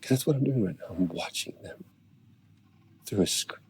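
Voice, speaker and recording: A man acting a weary, worried space-station medical officer in a video game, speaking a personal log haltingly in a gravelly voice. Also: fairly low pitch, animated, a little mellow, a little hiss or hum. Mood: homesick, wistful. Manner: halting, hesitant delivery.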